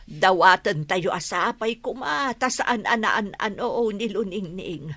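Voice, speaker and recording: A woman's voice: mid-range voice, lively intonation, unhurried, a bit bright, no echo. A woman playing an anxious, cautious villager in a radio drama, speaking in an earnest, lamenting manner. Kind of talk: Ilocano radio drama acting.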